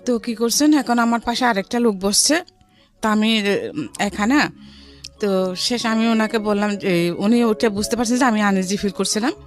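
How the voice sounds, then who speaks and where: A woman's voice: mid-range voice, moderate pace, pauses often. Middle-aged Bangladeshi woman, music behind. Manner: conversational, with English words mixed in. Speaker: interview guest telling her life story. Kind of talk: personal storytelling in conversation.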